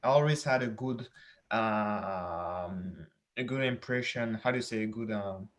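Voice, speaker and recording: A man answering questions as a podcast guest, speaking hesitantly and pausing to search for words. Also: fairly low pitch. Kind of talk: casual interview.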